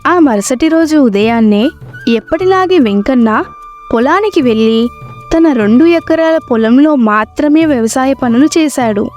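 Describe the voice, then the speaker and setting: A woman's voice: sits high, darkish tone. A woman storyteller narrating a scripted moral tale. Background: faint background music.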